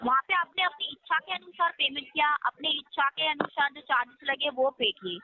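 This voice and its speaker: High-pitched woman persuading over a tinny phone line. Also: fairly quick, slight background noise. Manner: insistent, explaining, polite address with repeated check questions. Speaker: loan recovery call-centre agent. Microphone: telephone line. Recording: tinny phone call recording, faint music.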